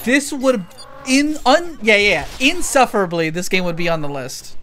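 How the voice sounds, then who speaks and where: A streamer giving casual live commentary on a game, talking in an excitable, slangy way with plenty of swearing. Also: medium pitch, moderate pace. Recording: loud game music behind. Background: video game music.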